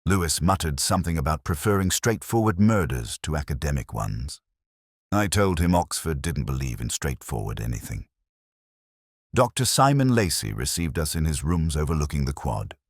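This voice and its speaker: A man playing a brooding, introspective British police inspector, narrating a detective story in a measured, solemn, literary way. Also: extremely low pitch, big pitch swings, husky, no room sound, clean studio sound, exaggerated voice. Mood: brooding, reflective. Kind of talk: scripted first-person detective story narration.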